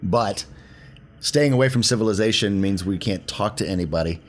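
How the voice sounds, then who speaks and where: Deep-voiced man playing a tabletop game. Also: moderate pace, slight background noise. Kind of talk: casual in-game discussion.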